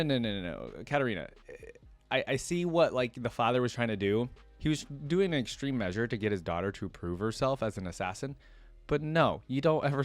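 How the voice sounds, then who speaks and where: A man with a fairly low voice hosts a reaction video. He moves between reading fiction aloud and tossing in his own casual, expressive remarks as a fan. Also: no room sound.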